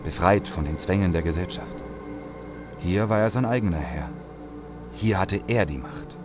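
An actor playing a battered, distrustful survivor soldier in an audio drama, blunt and skeptical. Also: male voice, low voice, normal speed, music in the background, close to an everyday voice. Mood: grim narration. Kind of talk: German audio drama acting.

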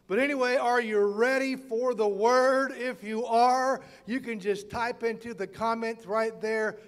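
An older man delivers a sermon in a very high-pitched voice. He is earnest and emphatic, stretching important words. The sound rings with the long reverb of a big hall. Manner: earnest, emphatic preaching with drawn-out key words. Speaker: pastor. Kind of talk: sermon for an online church broadcast. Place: church stage in a large auditorium.

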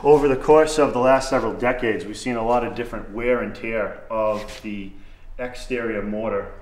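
Thirties male contractor, low-pitched, methodical technical explainer, echoey barn with faint hiss. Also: moderate pace, far from the mic. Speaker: masonry contractor. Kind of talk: explaining restoration work on a site tour. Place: large old barn and outdoor ruins.

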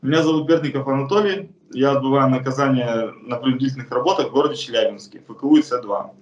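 A man's voice: mid-range voice, quick pace, dull audio. Earnest man in an echoey room. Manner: plain, earnest, frequent hesitations. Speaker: convict telling his case. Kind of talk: first-person testimony to camera. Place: bare indoor room.

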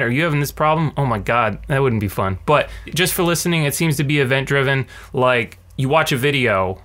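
A man in his thirties talking casually to camera as a tech video host, with a sardonic, animated ranting manner. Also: fairly high pitch, clean tone. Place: small cluttered home room. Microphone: large condenser mic on a stand beside him.

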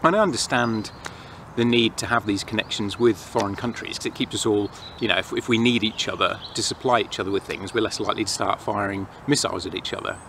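English male vlogger, lowish voice, casual reflective monologue, clear outdoor background noise. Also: fast talker. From England. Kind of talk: casual rambling monologue to camera. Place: outdoors in a pine forest. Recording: noisy outdoor ambience, distant planes and traffic.

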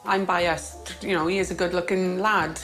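A woman speaking in a warm, reassuring way to a relative before his date. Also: on the low side, fairly even intonation, moderate pace, faint music behind.

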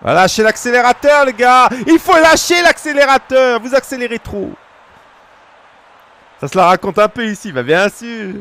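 A man in his thirties from France, streaming a football game and chatting casually with viewers, breaking into shouts at big moments. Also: very high-pitched, moderate pace. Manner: excitable, shouts at goals, chats casually with viewers. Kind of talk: live gaming commentary to chat. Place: small room at home.

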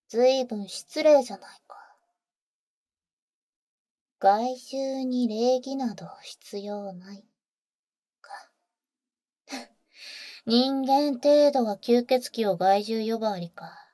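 A tender yet bold vampire woman, acted by a voice actress for an ASMR audio drama. Her voice is soft, breathy and dark, often near a whisper. She speaks slowly and protectively, with cool boyish phrasing, very close to the mic. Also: on the high side, dry recording. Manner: husky, cool, boyish speech. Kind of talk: Japanese ASMR audio roleplay. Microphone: close studio microphone, dry.

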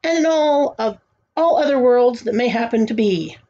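A woman voicing a blustering, puzzled king who booms commands. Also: expressive, moderate pace, mellow. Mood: bellowing. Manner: booming commanding calls. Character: blustering puzzled king, middle-aged man. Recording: dull lo-fi home recording.

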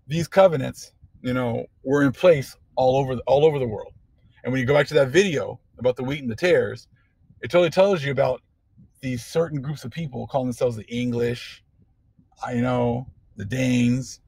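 A man with a gravelly, husky voice talks through scripture in an informal preaching style. He checks in with his listeners often and stays loose and conversational. The sound is dry and close, with no room echo. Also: medium pitch, darkish tone. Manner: conversational preaching with frequent "okay?" and "you know". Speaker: religious teacher. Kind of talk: informal scripture teaching over a book page.

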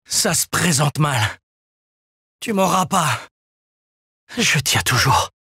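A spirited young male game hero, brave and earnest. His voice is husky and forceful, swinging widely in pitch. He delivers his battle lines with dramatic determination. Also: lively intonation, takes it slowly, no echo, studio quality, heavily acted. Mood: pained, straining. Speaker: video game party companion.

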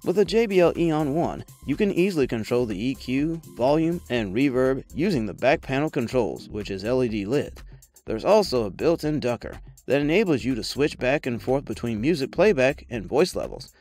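A man's voice: mid-range voice, lively intonation, normal speed, darkish tone. A man reading a scripted product review voice-over in a polished, promotional manner. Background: background music.

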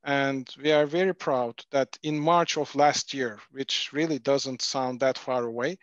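A man from Turkey, a pharmaceutical executive, presenting formally at a webinar over a video call with frequent pauses. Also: mid-range voice, steady tone, no room sound. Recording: dull dry video-call audio.

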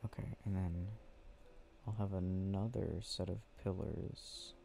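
Young male ASMR boyfriend, intimate and casual, mellow with a gravelly fry, faint noise and clicking behind. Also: low voice, fairly even intonation. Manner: intimate, unhurried, murmuring to a listener close by. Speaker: ASMR roleplay performer. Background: clicking and game ambience.

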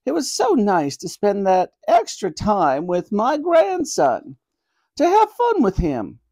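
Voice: medium pitch, huge pitch swings, slow, darkish tone, pitched above the performer's own voice, heavily acted. A reader voicing a kindly, apologetic elderly grandmother who speaks earnestly and politely in a children's story. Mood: sheepish, confessing.

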